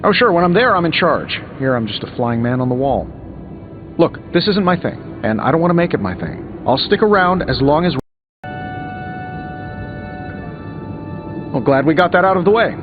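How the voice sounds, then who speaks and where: An actor playing a cocky, glib billionaire inventor superhero in a video game, teasing and self-assured. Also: male voice, on the high side, very animated, brisk. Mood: breezy, teasing, then wry. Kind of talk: video game dialogue acting. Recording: dull muffled game capture, music behind.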